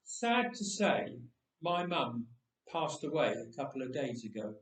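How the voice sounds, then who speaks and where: Man as young villager back from the dead, chatty, wry understatement, lively intonation, distant mic, echoing church. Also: mid-range voice, measured pace, voice pushed down. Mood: wistful. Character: young man of Bible times raised from death, chatty, wry. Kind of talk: dramatic first-person storytelling monologue. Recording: roomy church echo, distant microphone.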